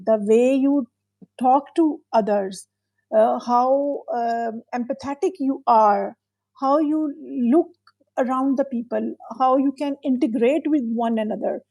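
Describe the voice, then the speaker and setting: A middle-aged woman, not a native English speaker, hosts a conversational interview. Her voice sits fairly high with a gravelly, mellow edge. She talks thoughtfully with frequent pauses and fillers, in a dry, close sound. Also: dry recording, rough recording. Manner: thoughtful, hesitant with many fillers. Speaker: podcast host.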